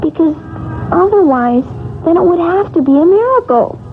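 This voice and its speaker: A woman's voice: very high voice, animated, normal speed. An actress playing an earnest, innocent little girl in an old-time radio drama, artless and matter-of-fact. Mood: puzzled, insistent. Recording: tinny radio recording, loud noise.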